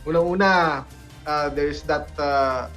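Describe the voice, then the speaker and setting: A man with a Filipino accent hosting a casual panel talk, speaking haltingly with repeated starts. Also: a little high, a bit bright. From Philippines. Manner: halting, with hesitant repetitions. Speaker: talk show host. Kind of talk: casual panel talk in mixed Tagalog and English. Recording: music behind the voice. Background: background music.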